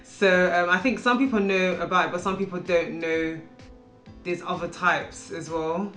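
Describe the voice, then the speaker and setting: A woman's voice: lowish voice, fairly even intonation, moderate pace, fairly bright tone, reverberant, faint music behind. A woman chatting casually with a friend as they react to a video, thinking aloud and hesitant. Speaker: panel viewer. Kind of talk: casual chat.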